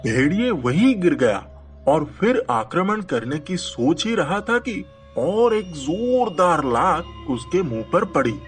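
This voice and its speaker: Casting: male storyteller for kids' tales, high voice, expressive melody, unhurried, over music. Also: husky. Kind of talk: scripted children's story narration.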